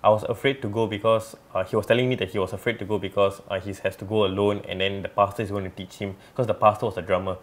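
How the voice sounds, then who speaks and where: A man's voice: on the low side, steady tone, rapid-fire, few pauses. A young man, a guest telling his story in a rambling way full of fillers. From Singapore. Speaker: interview guest, musician. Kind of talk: casual interview storytelling.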